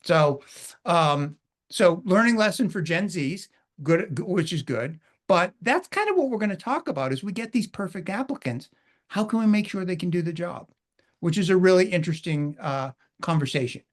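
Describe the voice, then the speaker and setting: A man's voice: high voice, lively intonation, normal speed. A middle-aged man hosting a webinar in a casual, chatty way, full of informal asides. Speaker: webinar host.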